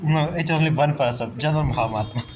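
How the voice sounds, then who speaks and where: A man's voice: medium pitch, fast. A man giving informal live game commentary, reacting and asking questions aloud. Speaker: game streamer. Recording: loud game music behind. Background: game music and dialogue.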